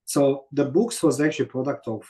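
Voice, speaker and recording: A man in his fifties with a Croatian accent, speaking in a mellow, darker tone. He talks haltingly in non-native English and leans on "you know" over and over as an interview guest. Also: medium pitch. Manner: halting, many fillers and "you know what I mean". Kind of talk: casual interview storytelling.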